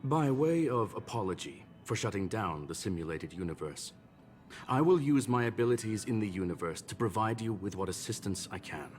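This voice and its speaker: An actor voicing a learned, formal gentleman robot in a video game, with courteous, measured phrasing. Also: fairly low pitch, animated, lightly acted. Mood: calm, explanatory. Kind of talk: scripted video game dialogue. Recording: game music behind.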